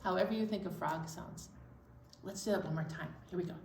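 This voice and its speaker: A woman leading a children's storytime as a librarian, speaking in a gentle, slow, encouraging way to young children. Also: fairly low pitch, roomy sound, recorded from a distance. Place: library room.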